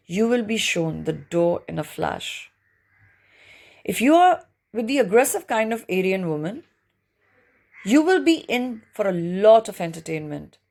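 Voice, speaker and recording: A woman's voice: mid-range voice, vocal fry. A woman reading a book chapter aloud as scripted audiobook narration.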